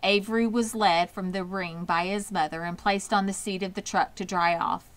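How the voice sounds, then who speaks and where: A woman reading a children's storybook aloud as an expressive narrator. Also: medium pitch, normal speed. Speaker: audiobook reader.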